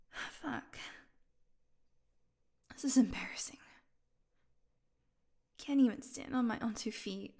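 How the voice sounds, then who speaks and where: Slow, breathy, sardonic vampire woman played by an actress. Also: on the high side, a little mellow, exaggerated voice. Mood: groggy, sarcastic. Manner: sarcastic, breathy murmurs, drawn-out weary delivery. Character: weakened captive female vampire, sardonic, hungry, teasing. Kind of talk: intimate audio roleplay acting.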